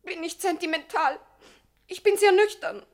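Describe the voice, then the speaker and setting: A very high-voiced gangster's sweetheart. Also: female voice, normal speed. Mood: hurt, defiant. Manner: plaintive, insistent. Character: gangster's pleading, devoted young sweetheart. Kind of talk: 1960s German radio comedy acting.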